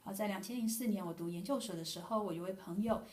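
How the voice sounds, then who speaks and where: A woman interpreting a sermon testimony sentence by sentence in a brisk, matter-of-fact way. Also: mid-range voice, a little mellow. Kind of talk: consecutive church interpretation. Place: church hall. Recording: echoey room from far off.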